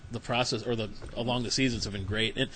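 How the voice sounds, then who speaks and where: A man's voice: medium pitch, fairly even intonation, quick pace, a bit bright, a little hiss or hum. A man hosting a podcast interview, chatting casually and rambling, often repeating words. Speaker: podcast host.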